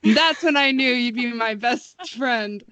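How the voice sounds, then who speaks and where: A woman's voice: fairly high pitch, measured pace, fairly bright tone, dry recording. Young American woman, playful, in dry sound. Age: early 20s. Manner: playful, joking. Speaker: podcast co-host. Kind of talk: casual chat.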